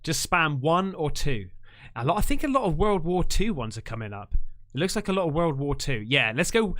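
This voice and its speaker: Lively, bright-voiced male streamer. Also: a little high, brisk. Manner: chummy and excitable, leans on slang. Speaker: live-stream host taking callers. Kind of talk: casual live chat.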